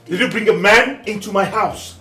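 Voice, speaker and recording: An actor playing an angry husband and father who shouts accusations at his wife. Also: sits high, normal speed, faint music behind. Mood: demanding. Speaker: actor in a domestic drama.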